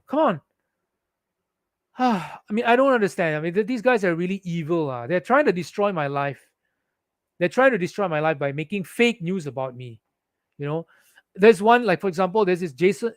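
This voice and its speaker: High-voiced man with a Singaporean accent. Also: no echo, clean studio sound. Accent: Singaporean English. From Philippines. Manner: indignant, heated, with frequent restarts. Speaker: vlogger. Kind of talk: ranting monologue to camera.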